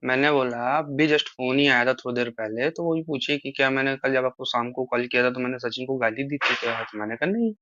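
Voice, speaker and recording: A man's voice: medium pitch, a little fast, hardly any pauses, pushed and bright, a little hiss or hum. A man in a casual phone conversation, talking at length as he explains himself and appeals to the listener. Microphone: phone call. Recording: muffled lo-fi recorded phone call.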